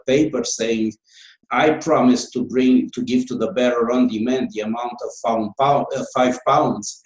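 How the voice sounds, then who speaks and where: A man's voice: medium pitch, a little mellow, echoey room, rough recording. A man with a Romanian accent, a guest author answering interview questions in a hesitant way, with many fillers and restarts.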